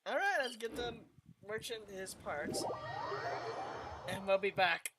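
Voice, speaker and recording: A woman's voice: medium pitch, animated, slow, very noisy. A woman streaming a game, giving casual live commentary on her playthrough. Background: game music.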